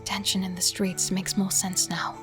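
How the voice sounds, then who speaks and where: A woman's voice: mid-range voice, little pitch movement, moderate pace, music in the background, natural voice. A woman playing a watchful healer in a fantasy audio drama. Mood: uneasy. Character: watchful young female healer companion of a crusader.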